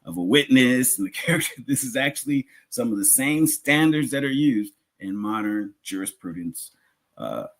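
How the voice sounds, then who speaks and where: A man with an American accent and a husky, slightly rough voice at a medium pitch. He delivers a religious lecture like a teacher addressing the public, deliberate in his delivery, often repeating a phrase to drive it home. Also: expressive, fairly bright tone. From United States.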